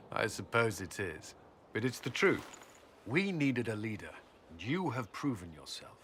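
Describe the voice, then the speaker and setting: An actor playing an earnest, dutiful, protective army commander in a video game, speaking in a formal, measured, resolute way. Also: male voice, medium pitch, very animated, a bit bright, slight background noise. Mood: gently warm. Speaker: military commander. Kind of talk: video game cutscene acting.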